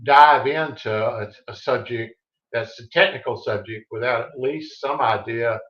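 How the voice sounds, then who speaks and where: A man speaks with a Texas accent, easy and unhurried as he tells about his book. He circles back and restarts his thoughts as he goes. His voice sits in a roomy space and comes through a dull, lo-fi video call. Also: medium pitch, a bit bright. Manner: easygoing, rambling with restarts. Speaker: author guest. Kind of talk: casual interview chat.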